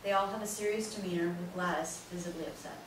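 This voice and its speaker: A woman's voice: lowish voice, steady tone, fast. A woman narrator reading the stage directions of a play from a script. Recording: very echoey hall, distant, noisy.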